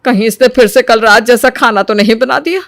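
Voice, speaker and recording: A woman playing a wary middle-aged Indian mother-in-law in an animated moral story, grumbling in exasperated asides and retorts. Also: a little high, a little fast, no room sound, clean studio sound.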